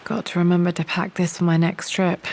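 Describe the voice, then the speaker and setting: An actress playing a pensive young British heiress and explorer, musing aloud in a video game. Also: female voice, fairly low pitch, flat delivery, a little fast, gravelly, a little mellow, slight background noise. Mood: focused, musing. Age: young adult. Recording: music behind the voice.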